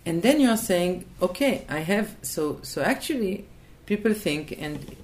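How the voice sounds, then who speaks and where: A woman's voice: lowish voice, animated, a little slow, darkish tone, slight background noise, poor audio. A woman from Israel, answering in a thoughtful interview and hesitating and restarting as she looks for words. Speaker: interview guest.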